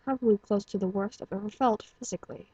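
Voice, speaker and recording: Woman reading as a guilt-ridden stallion outcast, quiet and flat, breathy lo-fi sound. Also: fast talker, no room sound. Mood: grim. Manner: low, flat and restrained. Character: wounded male pony outcast, guilt-ridden and grim.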